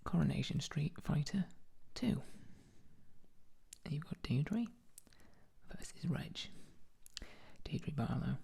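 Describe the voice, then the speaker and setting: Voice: mid-range voice, lively intonation, takes it slowly, gravelly, long pauses, warm tone. A speaker, whispering an ASMR commentary with dry, jokey asides while showing paintings.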